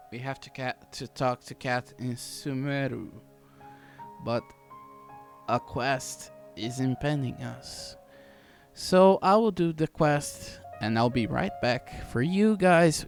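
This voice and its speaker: A man's voice: medium pitch, slow pace, vocal fry. A man giving casual let's-play commentary as a game streamer, reacting in muttered, repetitive remarks. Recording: game music behind voice. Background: video game music.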